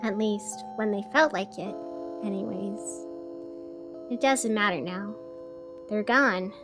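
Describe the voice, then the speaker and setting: A woman playing a weary, lonely radio host, musing wistfully to herself in a scripted radio fiction monologue. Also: fairly high pitch. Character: weary, lonely, melancholy female radio host in a gray city. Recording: music playing under the voice.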